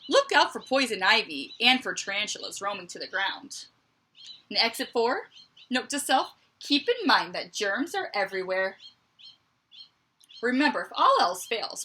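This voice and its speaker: A woman reading a picture book aloud to children like a teacher, with expressive emphasis and chatty asides. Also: fairly high pitch, a little hiss or hum. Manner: expressive, dramatic emphasis, conversational asides to young listeners.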